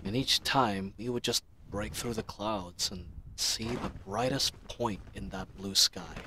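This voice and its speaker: A man's voice: fairly low pitch, measured pace, natural voice. Man acting an earnest fantasy knight captain, ambient noise. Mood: wistful, earnest. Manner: reflective, rambling, earnest, playfully indignant. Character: earnest, chatty young male knight captain, idealistic and teasing. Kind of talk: fantasy audio roleplay drama monologue. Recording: noisy ambient sound effects bed. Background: added outdoor ambience sound effects.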